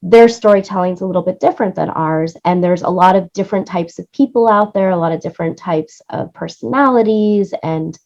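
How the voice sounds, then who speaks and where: A woman's voice: fairly low pitch, a little mellow, dull audio. A woman in her late thirties talking casually in an interview, emphatic and repeating her points for stress. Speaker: interview guest, music supervisor and producer.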